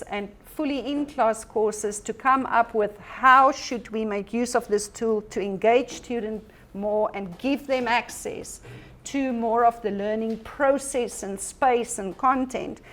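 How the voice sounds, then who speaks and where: A woman whose first language is Afrikaans, giving an earnest, encouraging workshop presentation about teaching technology, with frequent ums. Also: a little high.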